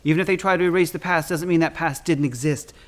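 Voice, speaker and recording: A man's voice: on the high side, fast talker. A man who performs as a drag queen storyteller, reading and chatting in a dramatic, effusive, campy way. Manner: effusive, campy, often calls listeners "darlings"; dramatic, expressive delivery. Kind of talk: theatrical read-aloud of picture books with chatty asides.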